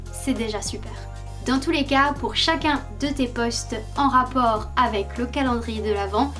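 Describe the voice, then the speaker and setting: A woman hosting her own channel, walking the viewer through a plan in a scripted, direct-address style. Her voice sits a little high. She lays out each point patiently and clearly. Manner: explains step by step, speaking directly to the viewer. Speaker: channel host. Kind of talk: scripted video announcement.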